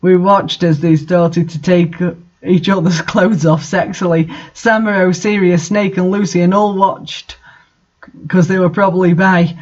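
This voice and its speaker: A man with a notably high voice hosts a reading of bad fiction. He delivers it theatrically and comments with exasperated, mocking disbelief. The recording is dull and lo-fi. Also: flat delivery, a little slow, a little mellow. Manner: mocking, exasperated, sweary asides. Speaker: host reading bad fan fiction aloud. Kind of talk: dramatic reading with amused, incredulous commentary.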